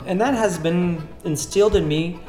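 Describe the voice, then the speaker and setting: A Canadian man whose first language is French, from Southwestern Ontario, calmly recounting his upbringing as an interview guest. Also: sits high. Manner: measured, thoughtful, with occasional hesitations and restarts. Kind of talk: reflective conversational storytelling. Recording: echoey room with faint guitar music. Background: faint guitar music.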